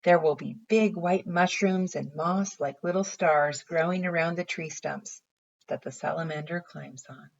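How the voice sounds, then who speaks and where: A woman's voice: low-pitched, steady tone, measured pace, vocal fry, lo-fi sound, exaggerated voice. A woman voicing an eager, imaginative young boy while reading a bedtime story aloud. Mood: dreamy, assured. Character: young boy, eager, imaginative, earnest about his plans.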